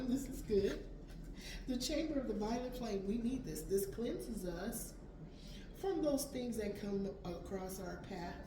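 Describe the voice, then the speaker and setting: A woman with a raspy voice reading tarot cards aloud, gentle and affirming. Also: mid-range voice, recorded from a distance. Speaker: tarot reader. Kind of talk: spiritual card reading. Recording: echoey room, voice from off mic, background noise.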